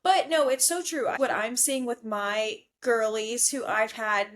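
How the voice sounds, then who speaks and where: A woman's voice: a little high, measured pace, fairly bright tone. A young adult woman hosting a casual podcast chat, talking in a gushing, slangy way peppered with "like". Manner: gushing, slangy, heavy use of "like". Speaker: podcast host.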